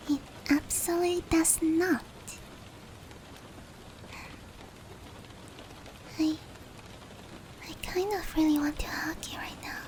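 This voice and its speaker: A soft, breathy female demon played by a woman whispers tenderly in a high voice. She is caring and reassuring, in the close, intimate style of roleplay ASMR. Light rain noise runs behind her. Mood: concerned, reassuring. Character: gentle, caring female sleep paralysis demon comforting a human.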